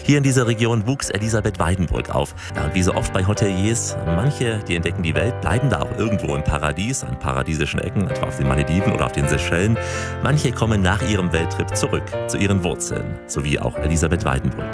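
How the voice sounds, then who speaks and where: Fast-talking man with a deep voice. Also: animated, loud music behind the voice. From southern Germany or further south. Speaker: host and reporter. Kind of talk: scripted travel narration and interviewing.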